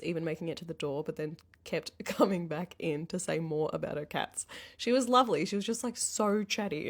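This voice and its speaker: A woman in her early thirties talking casually and candidly in a rambling, chatty vlog style, with self-deprecating asides. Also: low voice. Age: early 30s. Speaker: vlogger, self-employed dog groomer.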